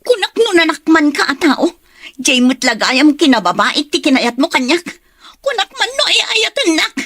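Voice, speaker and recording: A woman's voice: high voice, big pitch swings, normal speed, a bit bright, dry recording, studio quality. A woman acting an aggrieved, scheming lover in an audio drama, with a Filipino Ilocano accent. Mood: pleading, reproachful.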